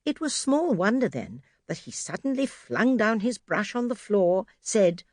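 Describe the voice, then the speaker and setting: A woman's voice: mid-range voice, very animated, a little slow, no echo. A woman narrating a children's audiobook expressively from a script, close to the microphone. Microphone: close studio microphone.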